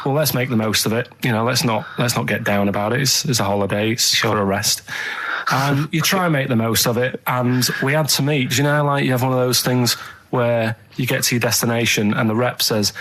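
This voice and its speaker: A man's voice: mid-range voice, fairly bright tone, low-quality recording. A man chatting casually on a radio show, telling rambling anecdotes in a blunt, plain-spoken, colloquial way. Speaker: radio show regular and producer.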